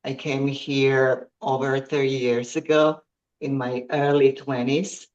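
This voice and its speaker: A woman answers interview questions on a podcast. She speaks slowly and haltingly, pausing often and filling the gaps with ums and uhs as she searches for her words. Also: very deep voice, flat delivery, a little mellow, muffled recording. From Croatia. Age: 50s. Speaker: guest athlete.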